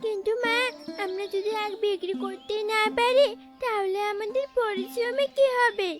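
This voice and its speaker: A woman's voice: very high voice, normal speed. A woman voicing a small, eager young girl bird in a cartoon, speaking in an earnest childlike way with music behind her. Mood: worried. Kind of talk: cartoon character acting.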